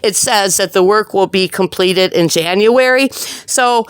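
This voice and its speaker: A woman's voice: mid-range voice, a little slow, clear, resonant tone, no room sound, studio quality. A woman leaving an angry voicemail complaint as a tenant, speaking in a combative, emphatic and indignant manner with blunt profanity.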